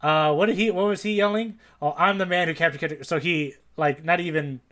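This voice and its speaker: A man's voice: on the high side, fairly quick, a bit bright. A man co-hosting a podcast in casual, conversational chat. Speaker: podcast co-host.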